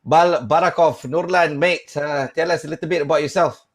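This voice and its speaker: A man's voice: fairly high pitch, moderate pace. Chatty male host of an online panel. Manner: chatty, joking, says mate a lot. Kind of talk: online panel show hosting.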